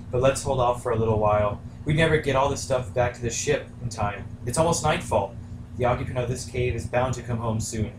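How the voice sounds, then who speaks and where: A man playing a brave, diplomatic rabbit sea captain with a measured, courteous way of talking, in an amateur puppet story. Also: medium pitch, lightly acted. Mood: calm, cautious. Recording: echoey room, far mic, faint noise.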